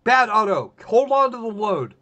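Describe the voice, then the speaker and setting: A man's voice: high-pitched, big pitch swings, moderate pace, a bit bright. A man casually commenting live on a visual novel as a game streamer, with wry, rambling, sweary talk and some text read aloud.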